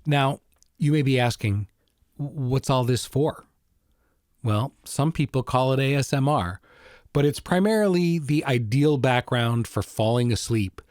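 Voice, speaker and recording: A man's voice: medium pitch, unhurried, darkish tone, dry recording. Male podcast host, dry close sound. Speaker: podcast host introducing an episode. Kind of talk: scripted narration.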